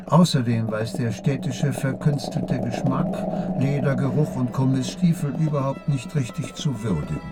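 A man's voice: medium pitch, moderate pace, few pauses, over loud music, close to an everyday voice. A man narrating a literary radio play in an observant, composed way.

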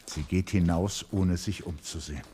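Deep-voiced male radio play narrator. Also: normal speed, mellow, slight background noise.

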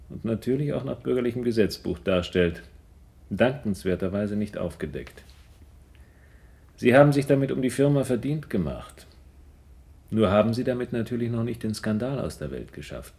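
Radio drama villain: smooth, menacing businessman, gravelly bass register, elaborately polite and pompous. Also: a little mellow. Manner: pompous, formal, courteously threatening. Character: smooth, menacing middle-aged male blackmailer in business dealings. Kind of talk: German radio crime drama acting.

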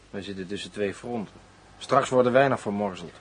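A man's voice: fairly low pitch, normal speed, lightly acted. A man acting an uneasy, informal police colleague in a radio play. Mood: uneasy, wry. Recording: faint noise, radio play recording.

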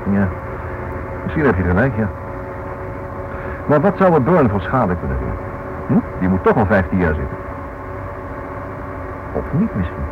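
A nosy stubborn husband in a radio play, lively pitch, repeats himself, lots of hiss. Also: male voice, a little slow, pauses often. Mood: probing. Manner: thinks aloud, insistent, repeats himself. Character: inquisitive, stubborn married man writing a book. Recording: noisy, dull old radio recording.

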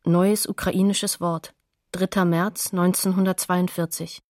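Low-voiced female documentary announcer. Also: little pitch movement, unhurried, darkish tone, no room sound, clean studio sound. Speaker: announcer of sources and dates. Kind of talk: terse scripted documentary announcements.

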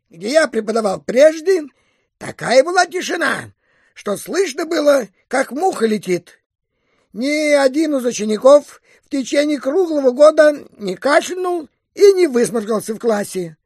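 High, creaky, scolding old-school teacher. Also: expressive, unhurried, dry recording, studio quality. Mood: smug, boastful. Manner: scolding, self-important, moralizing. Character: strict, petty schoolteacher who hates clever boys. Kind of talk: audio drama character acting.

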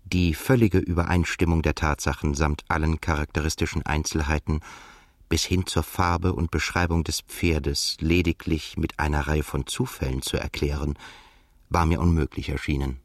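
An actor playing a brooding, haunted émigré narrator in a literary radio drama, speaking in a halting, self-correcting way. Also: male voice, a bass voice, steady tone. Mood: brooding, reflective. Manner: halting, self-correcting while translating aloud from English. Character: brooding Russian émigré man, narrator haunted by a past shooting.

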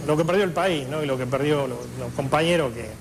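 A man's voice: a little high, quick pace, noisy background. An older man with an Argentine accent giving conversational spoken testimony.

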